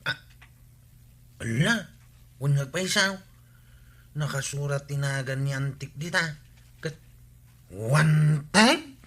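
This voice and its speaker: A man's voice: a little high, animated, takes it slowly, pauses often. A man playing an eager, cheeky village sidekick with an Ilocano accent in a radio comedy-drama.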